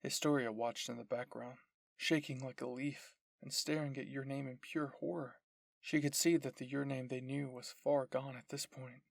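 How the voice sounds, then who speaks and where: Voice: medium pitch, steady tone, moderate pace, gravelly, no echo. A narrator reading a scripted, dramatic anime roleplay story. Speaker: narrator and voice of all characters.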